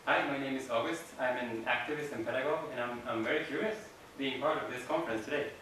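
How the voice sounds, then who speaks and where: A man's voice: mid-range voice, fast talker, a bit bright. A man activist introducing himself at a workshop, eager and repeating himself. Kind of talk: self-introduction. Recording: echoey room from far off, noisy.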